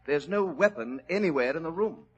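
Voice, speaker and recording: Actor playing a formal, deferential butler. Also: male voice, fairly high pitch, expressive, fairly quick, highs cut off, over loud music, lightly acted. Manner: polite, formal. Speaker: Peters. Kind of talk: 1940s radio acting. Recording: old radio recording, faint hiss.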